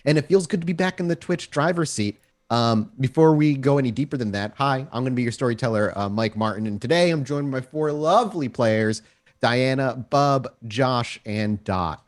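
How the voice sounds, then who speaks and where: A man's voice: mid-range voice, moderate pace. A man speaking casually as the host and storyteller of a live-streamed tabletop game.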